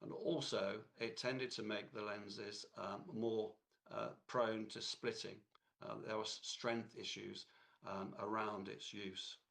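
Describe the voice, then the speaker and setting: A man with a cold, hoarse, giving a technical lecture in a halting way with many fillers and restarts. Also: fairly low pitch, level tone, fairly bright tone.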